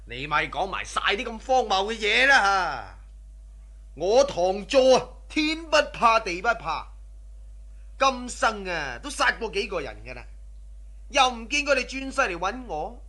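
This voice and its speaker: A swaggering robber with a high, piercing voice. He is greedy and ruthless, sneering and boasting in lively radio-drama swings of pitch. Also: a little slow, exaggerated voice. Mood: scornful, boastful. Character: cocky, greedy, ruthless male robber scoffing at superstition. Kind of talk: Cantonese radio drama acting.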